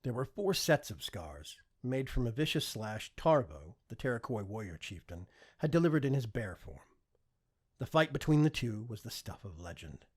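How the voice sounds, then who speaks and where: Male fiction reader, lively pitch movement, husky tone, audiobook narration. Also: moderate pace.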